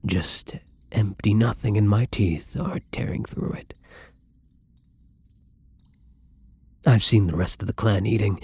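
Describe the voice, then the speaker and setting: A man acts out a tender male vampire in an intimate ASMR roleplay. His voice is very husky and breathy, sitting fairly low with a mellow, dark tone. He speaks softly and caringly, as if to someone close by. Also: no room sound, heavily acted. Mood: wistful, reflective. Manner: soft-spoken, tender, breathy.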